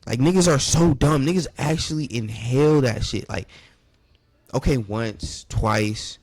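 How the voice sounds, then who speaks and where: A man's voice: mid-range voice, a little slow, darkish tone, breathy edge. A young man reacting casually to a video, with slangy, profane, repetitive talk.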